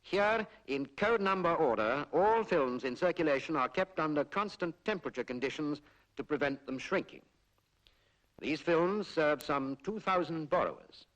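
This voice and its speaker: A British man narrating a 1940s public information film in a formal, measured, explanatory way, on a period film soundtrack. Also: fairly high pitch, expressive, fairly bright tone, poor audio. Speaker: documentary narrator.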